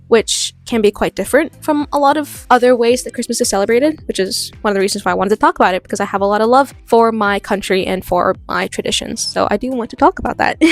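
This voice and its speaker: A young woman with an American accent, talking casually and chattily about her drawing and holiday traditions in an art vlog voice-over. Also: on the high side. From born in the US, raised in Norway. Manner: chatty, laughs often, rambling asides. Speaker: art channel host. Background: soft background music.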